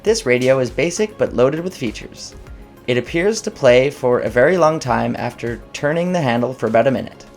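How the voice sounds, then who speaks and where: A man reads scripted product review narration in formal, measured phrases. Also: medium pitch, animated. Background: background music.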